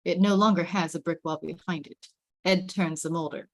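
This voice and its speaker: Woman reading out script stage directions, voice a bit on the low side, table read narrator. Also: steady tone, moderate pace.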